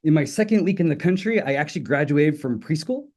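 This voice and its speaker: A man's voice: a little high, animated, quick pace, a little mellow. A middle-aged man whose first language is Italian, giving a friendly, informal talk as a guest speaker and telling personal stories. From Italy. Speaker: guest speaker, linguistics professor. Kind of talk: personal talk on an online webinar.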